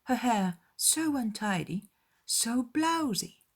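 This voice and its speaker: A snobbish young lady of society, as a female narrator puts her on in a dramatized audiobook. Her voice is lifted high, exclaiming with disdain. She is haughty and gossipy, full of mocking scorn. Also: expressive, slow, heavily acted. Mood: gleefully mocking.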